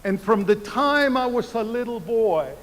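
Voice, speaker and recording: Cuban-born older man, slow arena oratory. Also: very high voice, lively intonation, a bit bright. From Cuba. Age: 70s. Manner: slow, deliberate oratory with emphatic pauses. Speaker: commencement speaker. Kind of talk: commencement speech. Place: large university arena. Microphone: podium microphone. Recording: reverberant arena sound.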